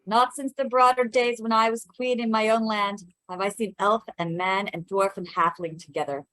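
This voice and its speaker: A woman's voice: medium pitch, level tone, moderate pace, a bit bright, dry recording, natural voice, poor audio. A woman playing a stately, aloof elven queen with formal, archaic phrasing in a fantasy table read. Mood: aloof, regal.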